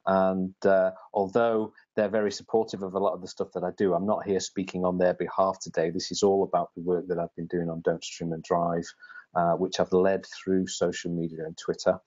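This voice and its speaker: A man's voice: low voice, little pitch movement, fairly quick, a little mellow. A man in his forties, a police sergeant from the UK, speaking as an interview guest with frequent ums and restarts, on lo-fi call audio. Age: 40s. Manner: hesitant, with many ums and repeated words.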